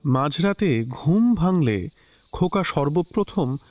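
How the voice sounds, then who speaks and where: A man narrating a classic story in a measured, expressive literary style. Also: on the high side. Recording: slightly dull lo-fi recording with soft music.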